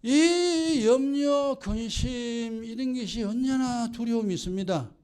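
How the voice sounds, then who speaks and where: A man's voice: extremely high pitch, takes it slowly, a little mellow, smooth. A man with a Gyeongsang Korean accent preaching a sermon as pastor, scolding his congregation with rhetorical questions.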